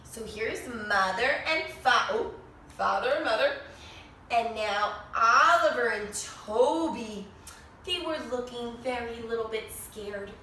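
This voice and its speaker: High-pitched woman reading a storybook in echoey room. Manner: expressive, with dramatic pauses and questions to young listeners. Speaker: children's author reading own book aloud to young children. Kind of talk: animated children's storybook read-aloud. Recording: echoey room, distant mic, faint noise.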